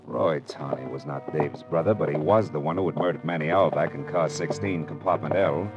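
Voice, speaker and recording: A man's voice: a bass voice, a little fast, dull audio. A male actor playing a tough, impatient, wisecracking private detective with an American accent, in 1940s radio style. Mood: tense, hardboiled. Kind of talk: 1940s radio acting, hardboiled narration. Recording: vintage radio drama recording with music.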